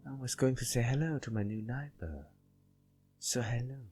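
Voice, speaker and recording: A man's voice: mid-range voice, normal speed, mellow, voice pushed up, close to an everyday voice. A man voicing a sweet, coy, obsessive young woman from a visual novel, in a lilting, teasing way. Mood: sugary, coy. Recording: faint background music.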